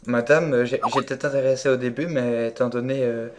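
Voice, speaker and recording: A man with an average-pitched voice talks on the phone as a prank caller posing as a buyer. He is deadpan and hesitant, yet keeps pushing with his questions in an unscripted way. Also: a little fast, background noise.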